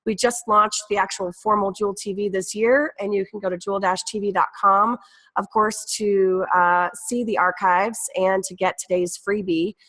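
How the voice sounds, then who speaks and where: A woman's voice: medium pitch, a bit bright, rough recording. A woman hosting a casual live talk show over a video call, chatting upbeat with many fillers while she has a cold. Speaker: host.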